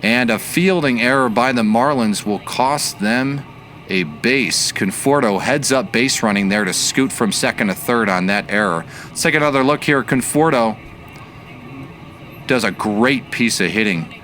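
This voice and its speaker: A man giving baseball play-by-play commentary as the announcer, measured and analytical in his delivery. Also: medium pitch, fairly bright tone, slight background noise.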